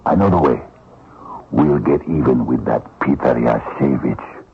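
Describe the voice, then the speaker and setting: A man playing a scheming, bitter Russian vagrant thief in a radio drama, gravelly and husky, bullying, taunting and wheedling. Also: rumbling low, measured pace, exaggerated voice. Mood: conspiratorial, urging. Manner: taunting, wheedling, muttered asides and scoffing. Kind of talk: old-time radio drama acting. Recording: dull, lo-fi radio drama recording.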